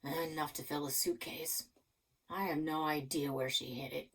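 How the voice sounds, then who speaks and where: A man's voice: a little high, steady tone, normal speed, a bit bright, voice pushed down. A woman voicing a cranky, blunt old grandfather in a storybook read-aloud, with folksy sarcasm. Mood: haunted, hushed.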